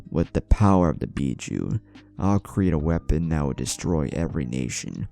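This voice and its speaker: A man's voice: rumbling low, big pitch swings, normal speed, creaky, mellow, faint music behind, exaggerated voice. A man voicing a stoic, grandiose villain leader who sees himself as a god, in a narrated story. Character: stoic male villain leader, grandiose, godlike, easily angered.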